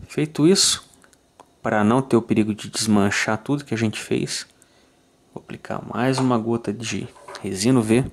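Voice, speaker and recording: Man, low-pitched, calm and methodical, fly tying tutorial, Brazilian, frequent check-ins. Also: moderate pace. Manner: calm, methodical, ends phrases with "tá?". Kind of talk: step-by-step tutorial voice-over.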